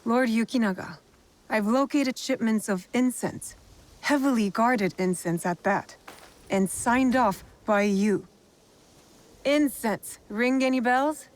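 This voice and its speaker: A woman voicing a determined heroine who questions lords directly and calmly in game cutscenes. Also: on the high side, takes it slowly, poor audio. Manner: direct, probing and calm. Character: cool determined female shinobi heroine. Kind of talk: video game cutscene acting.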